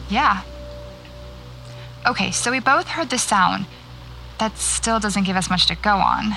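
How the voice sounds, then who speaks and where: An actress with an American accent playing a guarded, wry horror writer in a naturalistic audio drama, speaking tersely and dryly. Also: female voice, medium pitch, animated, unhurried, gravelly, bright, forceful tone, soft background music, full character voice, rough recording.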